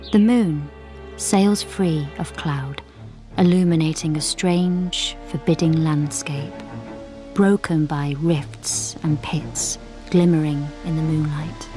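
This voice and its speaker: An actress playing a solemn storyteller, narrating a radio drama in a poetic, deliberate manner over music. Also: female voice, low-pitched. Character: solemn female storyteller of a rabbit people's journey.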